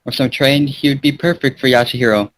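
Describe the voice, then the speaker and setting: A man's voice: medium pitch, fairly even intonation, fast talker, a bit bright, a little hiss or hum, rough recording. A man reading a fan fiction aloud as an amateur narrator, now and then stumbling over words. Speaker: fan fiction reader and gaming channel host.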